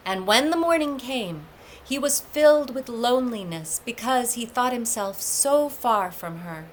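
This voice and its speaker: A woman reading a mythic storybook aloud as a storyteller, in a measured, deliberate manner with emphatic pauses. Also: mid-range voice, fairly bright tone, slight background noise, poor audio. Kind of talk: scripted storybook narration of a myth.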